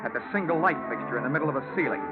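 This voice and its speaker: A man's voice: on the high side, expressive, rapid-fire, natural voice. Man acting wisecracking radio detective, muffled vintage sound. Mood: wry narration. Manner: wry, slangy, flippant. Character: wisecracking hard-boiled male insurance investigator. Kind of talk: 1940s radio detective acting and first-person narration. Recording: muffled vintage radio recording, loud music.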